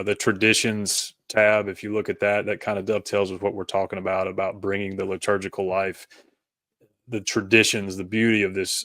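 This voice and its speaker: A man's voice: low voice, fairly bright tone, no echo. A man speaking as an interview guest, explaining his thoughts conversationally in a hesitant, rambling way. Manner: hesitant, rambling, peppered with "you know" and "kinda". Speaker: podcast guest, author. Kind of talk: conversational interview, explaining personal faith journey.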